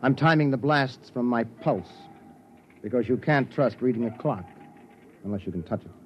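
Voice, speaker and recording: A man's voice: mid-range voice, moderate pace, natural voice. Man acting a grave, dry starship captain. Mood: grave, resigned. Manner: commanding, dryly sardonic. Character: grave, weary, wry male starship captain. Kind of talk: 1950s radio drama acting. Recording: dull, noisy vintage radio recording.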